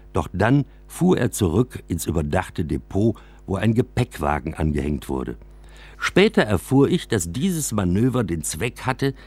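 A man narrating a scripted first-person story for a radio drama, with an expressive delivery. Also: on the low side, creaky, husky, dry recording.

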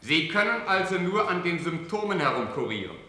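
A man's voice: high voice, sing-song, a little fast, full character voice. A man playing an aggressive, confrontational press reporter in a 1970s radio drama. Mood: accusing. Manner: sharp, confrontational. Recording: very echoey hall with long reverb.

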